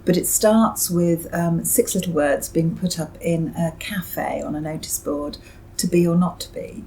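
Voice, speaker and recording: A woman's voice: lowish voice, measured pace, a little mellow, slight background noise, low-quality recording. A middle-aged or older British woman giving thoughtful, somewhat hesitant interview answers as a novelist.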